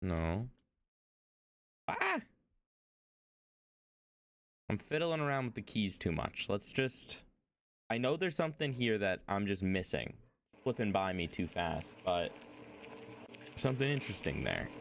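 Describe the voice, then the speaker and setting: A man's voice: fairly low pitch, big pitch swings, unhurried, gravelly, pauses often. A young man streaming as he reacts to a video, talking casually, rambling and hesitant, with lots of fillers.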